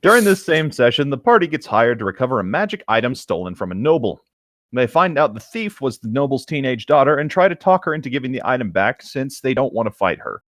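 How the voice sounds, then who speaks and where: A man's voice: medium pitch, sing-song, fast, a bit bright, no room sound, studio quality. A man reading stories aloud as a co-host, breaking off into casual commentary.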